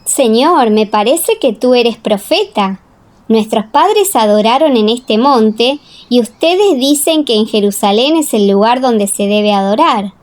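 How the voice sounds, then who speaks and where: A woman playing a forthright, questioning villager at a well in a dramatized scripture audiobook, with expressive delivery. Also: a little high, measured pace. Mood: respectful.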